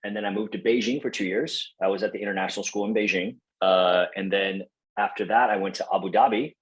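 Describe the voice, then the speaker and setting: A man's voice: fairly low pitch, fairly even intonation, fast talker, poor audio. A young man from Taiwan, a podcast guest, chatting casually and in a friendly way, with lots of fillers and restarts. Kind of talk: casual interview chat.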